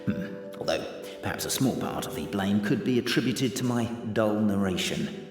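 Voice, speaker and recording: An actor playing a theatrical, self-satisfied storyteller, narrating a mock-epic game tale pompously with hesitant asides. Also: male voice, lowish voice, normal speed, over music, natural voice. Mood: grandly narrating. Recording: echoey hall reverb.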